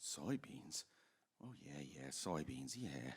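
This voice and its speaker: A restless young American small-town man, stubborn and in love. His speech stammers with feeling and his pitch swings widely, in old-time radio drama style. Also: very animated, takes it slowly, breathy edge, full character voice. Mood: subdued, guarded. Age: young adult.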